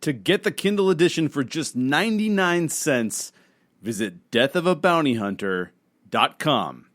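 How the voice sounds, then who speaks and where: Lively male ad announcer. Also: a little high, unhurried. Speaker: advertisement announcer. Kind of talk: scripted promotional read.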